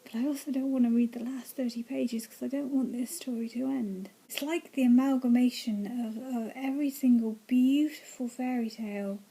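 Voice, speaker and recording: A woman's voice: fairly high pitch, steady tone, normal speed, hardly any pauses, mellow, husky, low-quality recording. A woman giving casual, gushing reactions as she reads through a book, with an enthusiastic way of talking.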